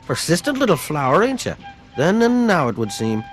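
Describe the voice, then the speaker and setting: Lively, scheming saloon boss. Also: male voice, on the high side, brisk, soft background music. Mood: sly, condescending. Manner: sly, patronizing, theatrical. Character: smooth, scheming saloon owner, middle-aged or older man. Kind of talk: video game dialogue.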